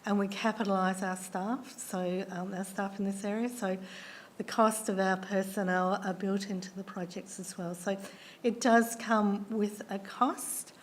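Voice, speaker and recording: A woman, a council officer who is losing her voice, answering councillors' questions in a measured way with many ums and restarts. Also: mid-range voice, fairly even intonation, slight background noise. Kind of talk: formal meeting answers.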